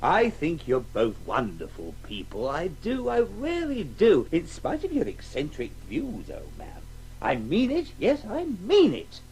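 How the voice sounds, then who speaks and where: A man's voice: fairly high pitch, huge pitch swings, a little slow, a little mellow, slight background noise, full character voice, rough recording. A man with a British accent playing a hearty, blustering, conventional chap in a radio play, often repeating himself. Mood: effusive. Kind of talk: 1980s radio drama acting.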